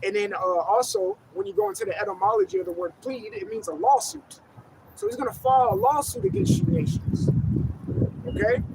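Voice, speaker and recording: Male street preacher, emphatic, gravelly edge, outdoors with traffic. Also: very high voice, huge pitch swings, fairly quick. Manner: emphatic, calls on reader to go ahead. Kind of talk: street sermon.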